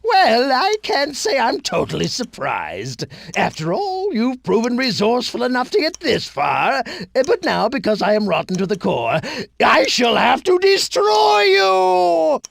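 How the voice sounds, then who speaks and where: Wildly swooping, gravelly pompous villain. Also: male voice, extremely high pitch, huge pitch swings, fairly bright tone, no room sound, heavily acted. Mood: menacing, theatrical. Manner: pompous, gloating, drawn-out delivery. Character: theatrical, smug, rotten villainous male tyrant. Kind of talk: video game cutscene acting.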